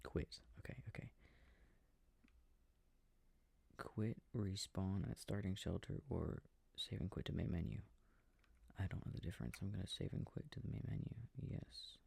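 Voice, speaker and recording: A man playing an affectionate, easily startled boyfriend in an intimate sleep-aid roleplay, rambling softly to himself about his game and often drifting into whispers. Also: deep voice, fairly even intonation, moderate pace, gravelly, breathy edge, no echo, full character voice. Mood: relaxed, sleepy.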